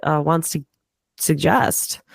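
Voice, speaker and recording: A woman's voice: low-pitched, slow, gravelly, husky, dry recording. Woman host, Chicago accent, nasal and stuffed-up with a cold, loose filler-heavy chat, dry close sound. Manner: chatty, filler-heavy, swears freely. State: congested from a cold. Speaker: podcast host. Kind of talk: casual podcast chat. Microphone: remote call mic.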